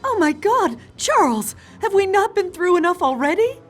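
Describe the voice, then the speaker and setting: High-voiced, distraught wife. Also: female voice, sing-song, moderate pace, faint music behind. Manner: upset, exasperated. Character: distressed, weary wife and mother. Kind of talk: dramatic video game cutscene acting.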